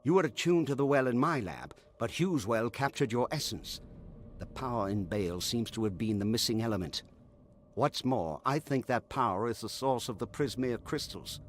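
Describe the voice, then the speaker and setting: An actor playing a grave scholar in a fantasy game, speaking in a measured, ominous, expository manner. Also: male voice, medium pitch, animated, slight background noise, natural voice. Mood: intent, fascinated. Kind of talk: dramatic video game dialogue.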